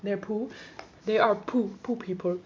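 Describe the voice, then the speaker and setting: A woman's voice: mid-range voice, steady tone, a little slow. Chatty, teasing woman, lo-fi sound. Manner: chatty, swears casually, teasing. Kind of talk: casual chat. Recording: dull lo-fi sound.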